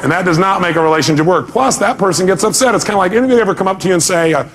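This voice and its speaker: A man's voice: high voice, lively intonation, racing, very noisy. A man speaking as a motivational seminar speaker, emphatic, putting rhetorical questions to his audience and often answering them himself.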